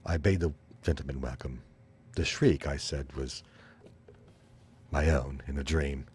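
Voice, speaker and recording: Man, extremely low voice, husky, dramatic horror narration, deliberate phrasing, pauses for effect. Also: fairly even intonation, darkish tone. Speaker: reader of a horror short story. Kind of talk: dramatic first-person story narration.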